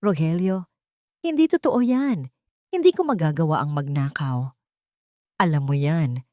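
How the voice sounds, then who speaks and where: A woman's voice: sits low, expressive, measured pace, mellow, no echo, clean studio sound. A woman voicing a humble, wronged young housemaid in an audio story, pleading earnestly.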